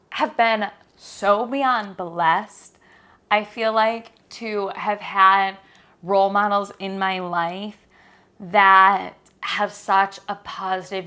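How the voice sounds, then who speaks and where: Podcast host: young American woman, unhurried, crisp and bright, speaking earnestly and encouragingly straight to listeners. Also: mid-range voice. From Michigan, United States. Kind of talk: solo podcast monologue, personal introduction.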